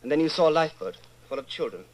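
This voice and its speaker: An actor playing a brooding, fearful middle-aged secret rebel, speaking an intense, halting inner monologue in a radio drama. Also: male voice, fairly high pitch, animated, darkish tone, natural voice, rough recording. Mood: anxious. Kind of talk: old-time radio dramatization, interior monologue.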